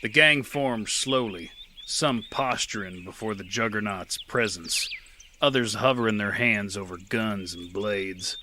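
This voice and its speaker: A rugged, world-weary gunslinger, voiced by a man tells his own story in a dry, clipped way. His voice sits a little low but rings bright and sharp. The narration is steady and unhurried, like an audio drama lead. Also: slight background noise.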